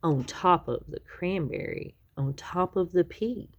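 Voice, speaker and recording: A woman reading a children's picture book aloud expressively, with dramatic, drawn-out emphasis on key words. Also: fairly low pitch, expressive, muffled recording. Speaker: children's story reader.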